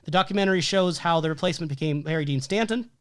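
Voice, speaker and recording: A man talks through his prepared notes in a steady, methodical way, often pausing with an "um" or a "like". His voice is wearing thin and going hoarse. The recording is dry and close, with almost no room sound. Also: a little high, no echo. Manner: methodical, listing details with frequent "um" and "like". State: losing his voice. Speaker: podcast co-host. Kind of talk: reading prepared film analysis notes.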